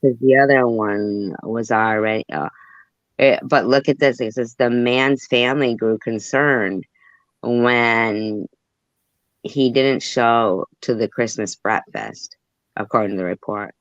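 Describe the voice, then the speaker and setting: A man's voice: mid-range voice, takes it slowly, no room sound, lo-fi sound. A man hosting a casual chat who rambles, trails off and mutters asides, then reads news reports aloud. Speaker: host. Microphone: phone.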